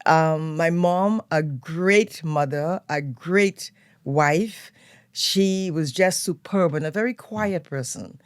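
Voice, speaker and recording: An older woman with a Trinidadian accent, a guest telling stories of her upbringing in a relaxed, unhurried way. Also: low voice, clean tone, no echo, studio quality. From Trinidad and Tobago. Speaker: guest, event planner. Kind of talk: relaxed storytelling interview. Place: radio studio.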